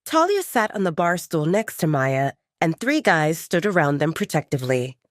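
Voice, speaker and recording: A woman narrates dramatic fiction in a slightly low voice. Her delivery is expressive, with a lively melody that moves freely. The sound is close and dry. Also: normal speed, dry recording. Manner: expressive storytelling. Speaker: audiobook narrator. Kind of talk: scripted dramatic fiction narration, third person.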